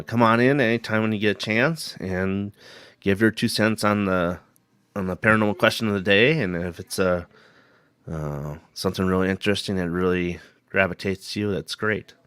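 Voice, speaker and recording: A man hosting a paranormal live stream, hoarse from a cold, rambling casually and greeting viewers by name. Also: low voice, normal speed, a bit bright, no echo.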